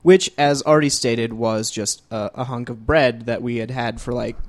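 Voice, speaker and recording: A man's voice: medium pitch, steady tone, moderate pace. Playful man recounting a bread fight. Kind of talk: joking banter.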